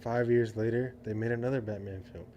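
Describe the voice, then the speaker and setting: A man's voice: medium pitch, fairly even intonation, a little fast, warm tone, slight background noise. A man co-hosting a podcast, chatting casually and giving his opinion. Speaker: podcast co-host. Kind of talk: casual chat about movies.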